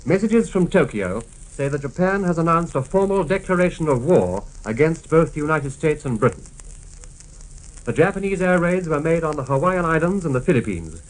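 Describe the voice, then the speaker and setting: A man reads a formal, clipped 1940s radio news bulletin on a crackly old recording. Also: fairly high pitch. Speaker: radio newsreader.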